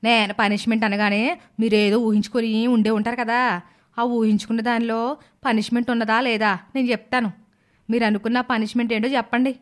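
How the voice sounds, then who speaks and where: Woman doing a bossy sarcastic aunt for a kids moral cartoon, measured scolding, mock-sweet threats. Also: a little high, little pitch movement, close to an everyday voice. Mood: stern, sarcastic. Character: stern, sarcastic middle-aged aunt scolding her nieces. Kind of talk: animated moral-story cartoon dialogue.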